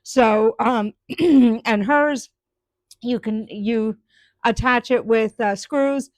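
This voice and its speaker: A woman's voice: fairly high pitch, very slow, dry recording. A woman chatting live with viewers about her woodturning, rambling with lots of ums and restarts. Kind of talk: casual live-stream chat.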